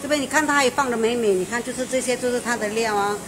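A woman's voice: on the high side, quick pace. A middle-aged woman, hosting a casual restaurant review and chatting enthusiastically with the owner inside a restaurant. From Malaysia. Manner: chatty and enthusiastic, with frequent fillers and exclamations. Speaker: food show host. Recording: noisy restaurant background.

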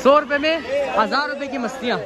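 A man's voice: very high-pitched, fast talker. A man in his twenties with a Pakistani accent, chatting casually to a phone camera as he vlogs an outing with friends. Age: 20s. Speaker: vlogger. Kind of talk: casual vlog chat with friends. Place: outdoors, streets and public swimming pool. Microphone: handheld phone at arm's length. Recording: noisy outdoor phone recording, crowd and traffic.

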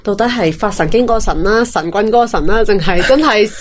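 A woman's voice: medium pitch, brisk, a little hiss or hum. A woman with a Hong Kong Cantonese accent, co-hosting satirical banter in a teasing way. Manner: teasing, laughs easily. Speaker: co-host.

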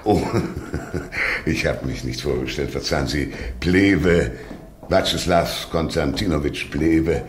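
An extremely low male voice plays an older Russian police minister in a radio drama. He is suave and over-polite, with menace under the formal manners. The room echoes around him and there is faint noise. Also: slow pace. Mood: silky, courtly.

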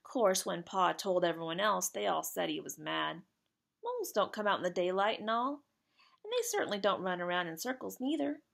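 A plucky working-class boy from a children's storybook, played by a woman, with a creaky edge to the voice. He talks in slangy, rough grammar and is friendly and earnest, sitting higher than the reader's own talk. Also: lively intonation, normal speed, fairly bright tone. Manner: earnest, colloquial, dropped-grammar speech. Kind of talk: children's storybook character read aloud.

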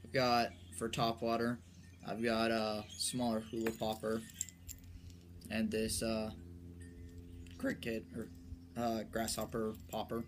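A young man giving a casual, unscripted show-and-tell in a halting, low-key way, recorded on a porch at arm's length from the mic. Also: completely flat delivery. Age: young adult, late teens or early twenties. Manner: halting, low-key, trailing off while handling items. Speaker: amateur angler presenting his tackle. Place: covered wooden porch outdoors. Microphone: phone or camera at arm's length. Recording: noticeable outdoor background noise.

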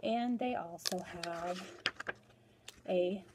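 Slow-paced American woman, school librarian. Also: fairly low pitch, noisy background. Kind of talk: instructional chat to pupils. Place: small school room, indoors.

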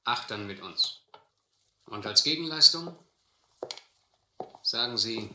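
An actor playing a stern, determined sea captain who narrates and commands in a firm, measured manner in a radio adventure play. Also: male voice, mid-range voice, animated, sharp tone, echoey room, a little hiss or hum, heavily acted. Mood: firm, terse. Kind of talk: German audio adventure drama.

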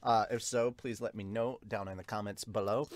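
A man's voice: fairly low pitch, fairly even intonation, moderate pace, no echo. A man in his thirties chatting casually as a manga reviewer and host, recorded close on a desktop mic at home. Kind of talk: casual chat, reading viewer comments. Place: small home room with bookshelves. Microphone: large desktop microphone with pop filter, close.

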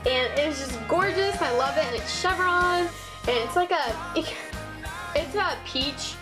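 A young woman with a Southern Ohio twang, chatting casually to her webcam in a small bedroom, bubbly and gushing, full of ums and likes. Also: high-pitched. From Midwest United States. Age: young adult. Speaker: vlogger. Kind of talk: casual chat to camera. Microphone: built-in webcam mic.

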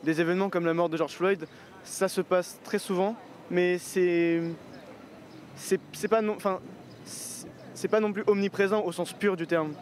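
A man's voice: sits high, steady tone, measured pace, a little hiss or hum. Young man musing in a city square. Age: 20s. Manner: thoughtful, hesitant, many fillers and restarts. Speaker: street interviewee. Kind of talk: street interview, reflective opinion. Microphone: handheld mic.

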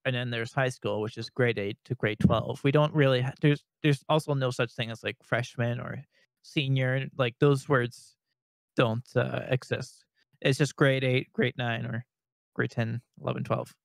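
A young man from Canada chatting as a podcast co-host, rambling with many restarts and nervous laughs, with a fairly high-sounding voice. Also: steady tone, normal speed, gravelly, dry recording. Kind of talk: casual podcast chat.

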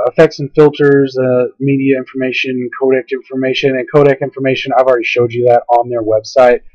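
A man narrating a casual, unscripted software tutorial, hesitant and rambling, his voice tiring as his throat gives out. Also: mid-range voice, dry recording. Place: indoors at a computer, screen-capture voice-over. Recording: dull lo-fi home screen recording.

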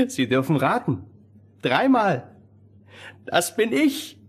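An actor playing an eager, boastful teenage miller's son, impetuous and excitable, in a fairy-tale radio play. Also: male voice, high-pitched, drawn-out words, breathy edge, full character voice. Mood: proudly boastful. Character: eager, cocky fifteen-year-old miller's son longing for adventure.

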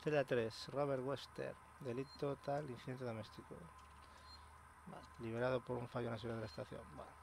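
A man narrating his horror game run in a relaxed, joking way, reading in-game text aloud. Game noise runs clearly behind him. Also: medium pitch, brisk. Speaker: gamer commentating a playthrough. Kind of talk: casual let's play commentary.

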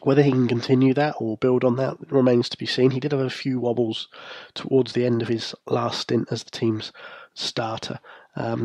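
A male podcast host talking through hockey news in a measured, analytical way, his voice snuffly and croaky from a cold. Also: medium pitch, a little mellow, no echo. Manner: measured, analytical, occasional fillers. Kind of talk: solo hockey news commentary.

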